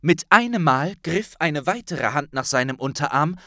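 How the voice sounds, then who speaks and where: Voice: medium pitch, animated, normal speed, a bit bright, dry recording, studio quality. An audiobook narrator reading a dramatic scripted story.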